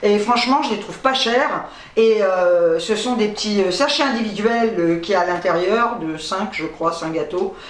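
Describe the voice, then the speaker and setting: A woman's voice: mid-range voice, moderate pace. A middle-aged woman from North Africa chatting casually as a vlogger, rambling playfully with some salty language. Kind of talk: casual chatty grocery haul. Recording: roomy, distant microphone.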